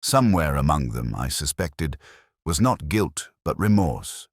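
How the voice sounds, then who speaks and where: An extremely deep male voice , a man acting as a brooding police inspector telling his own mystery story. The delivery is measured and literary, with expressive swings in pitch, recorded close and dry. He sounds reflective and sceptical. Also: no room sound, heavily acted. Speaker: narrator. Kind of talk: scripted first-person mystery narration.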